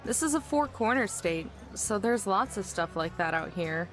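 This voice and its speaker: An actress playing a dry, cynical young woman with a deadpan, dismissive delivery in a visual novel game. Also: mid-range voice, normal speed, music in the background, natural voice.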